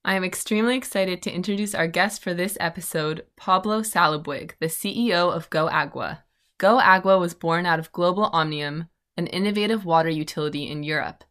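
A woman hosting a podcast, reading out a smooth introduction of her guest. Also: on the low side, brisk, fairly bright tone. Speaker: podcast host.

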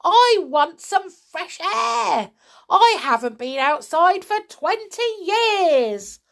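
A woman voicing an ancient, scrawny grandma in a children's story, gleeful and bossy, with a screechy, shouting voice. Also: very high-pitched, expressive, extremely slow, pitched above the performer's own voice, heavily acted. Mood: exhilarated, demanding. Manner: shouting, exclaiming.

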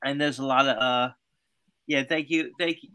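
A man's voice: mid-range voice, steady tone, pushed and bright, smooth. A man chatting as a podcast guest. He sounds tired and a bit nasal, and he stumbles and repeats words. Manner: halting, repeats words, jokey. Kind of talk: casual chat.

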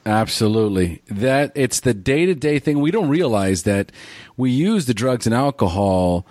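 A man's voice: mid-range voice, big pitch swings, moderate pace, a little mellow, no room sound. A man hosting an interview about recovery. He affirms his guest often and asks open questions. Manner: affirming, often says "absolutely". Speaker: host.

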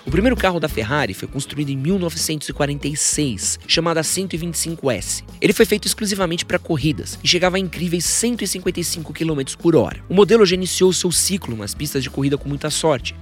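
A man's voice: a little high, animated, fast talker, few pauses, soft background music. A man hosting a scripted biographical podcast, with informal joking asides. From São Paulo, Brazil. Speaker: podcast host. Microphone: studio podcast microphone.

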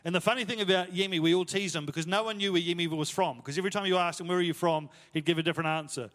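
A man's voice: high voice, fairly even intonation, rapid-fire, a bit bright. A man preaching a sermon, telling stories in a casual, self-deprecating way with many fillers. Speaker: pastor. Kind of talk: sermon storytelling. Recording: long hall reverb.

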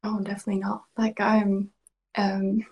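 A woman's voice: mid-range voice, monotone, normal speed, husky, lo-fi sound. A young Swedish woman, a native Swedish speaker with a mixed English and Australian accent, chatting as a podcast guest, laughing often and drifting into whispers. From Sweden. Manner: giggly, slips into soft whispers. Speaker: podcast guest, ASMR creator. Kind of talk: casual interview chat.

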